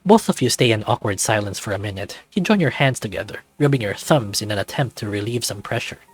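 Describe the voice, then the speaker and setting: A man's voice: lowish voice, expressive, quick pace, slightly rough, soft background music. A man narrating a scripted second-person story as a visual novel reader.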